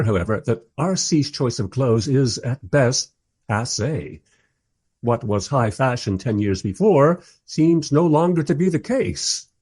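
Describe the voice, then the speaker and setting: An actor playing a bemused, wry filmmaker who narrates and interviews in a scripted radio drama. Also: male voice, mid-range voice, a little mellow, husky.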